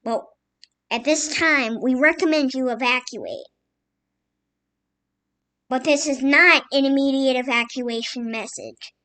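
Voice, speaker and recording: A woman with a high voice delivers a mock emergency alert. She reads slowly and formally, halting between phrases like a scripted civil announcement. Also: sharp tone, smooth.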